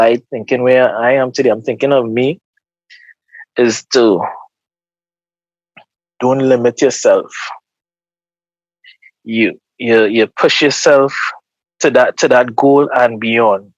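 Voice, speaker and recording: A man's voice: medium pitch, slow, long pauses, dry recording, dull audio. A man with a Trinidadian accent speaking earnestly and casually in an interview, often repeating words as he gathers his thoughts. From Trinidad and Tobago. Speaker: guest.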